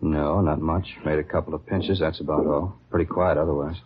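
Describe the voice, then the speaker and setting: A man's voice: a bass voice, fairly even intonation, a little fast, slight background noise. A man playing a plain-spoken, guarded beat patrol officer in a 1950s radio drama, talking in casual, matter-of-fact police slang. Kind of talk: 1950s radio acting, conversational dialogue. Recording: dull, lo-fi old radio recording.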